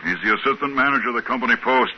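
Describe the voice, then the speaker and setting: An actor playing a wry, gruff spaceship captain in a 1950s radio drama, dry and casual. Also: male voice, mid-range voice, very fast, close to an everyday voice. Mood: wary, placating. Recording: muffled, noisy old radio recording.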